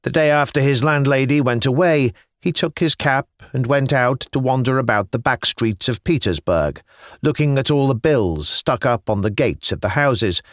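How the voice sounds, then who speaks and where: Expressive male audiobook narrator, lively intonation, dry sound. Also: mid-range voice, moderate pace, dry recording. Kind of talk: scripted literary narration.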